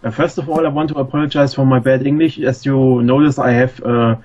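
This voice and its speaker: A man in his thirties from Germany with a German accent, a call-in guest who talks haltingly and searches for words. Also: medium pitch, steady tone, muffled recording, a crowd in the background. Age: 30s. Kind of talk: casual podcast chat. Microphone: internet voice call.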